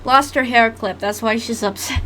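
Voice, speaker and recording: A woman's voice: a little high, normal speed. A woman giving casual reaction commentary on her own animation, giggly and rambling. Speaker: animator reviewing own old work.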